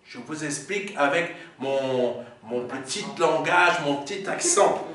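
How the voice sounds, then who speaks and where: A man's voice: a little high, expressive, unhurried, a crowd in the background. A male pastor preaching a Sunday sermon in a church, teaching and explaining Greek words, often stopping to say "Amen". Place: church sanctuary. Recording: very echoey hall, mic far off.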